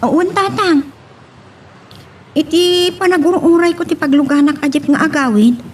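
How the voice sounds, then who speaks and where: A woman's voice: high-pitched, measured pace. A woman playing an anxious, dutiful young daughter in an Ilocano audio drama, with an Ilocano accent. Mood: earnest, troubled.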